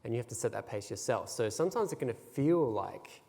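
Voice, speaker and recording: A man's voice: medium pitch, expressive, brisk, warm tone. A man student reflecting thoughtfully, trailing off at the ends of his points. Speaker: student panelist. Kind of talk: casual panel talk. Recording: long hall reverb.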